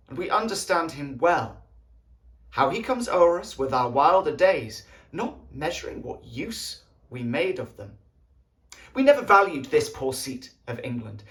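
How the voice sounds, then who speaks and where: A man playing a proud young warrior king, coldly menacing, in a deliberate, emphatic Shakespearean stage monologue. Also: on the high side, fairly bright tone. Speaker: actor performing an audition monologue.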